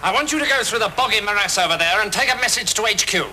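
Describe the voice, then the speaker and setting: An actor playing a stiff army officer who gives orders in a clipped, commanding way. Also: male voice, high voice, animated, quick pace. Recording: noisy archive TV sketch.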